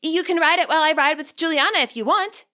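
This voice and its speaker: A woman's voice: high voice, quick pace, sharp tone, voice pushed up. A woman voicing an eager, friendly teenage girl battler in an audiobook, perky, curious and earnest.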